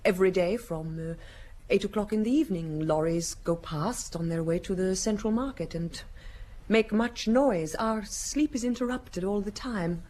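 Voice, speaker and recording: An actress playing an enigmatic, demanding foreign lady under suspicion in an audio drama, speaking formally with hesitant, deliberate pauses. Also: mid-range voice, mellow, close to an everyday voice.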